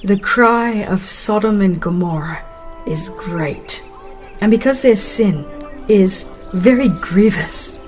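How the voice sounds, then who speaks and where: A woman voicing God as a solemn male divine judge, grave and measured, in a dramatized audiobook. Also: medium pitch, darkish tone, close to an everyday voice.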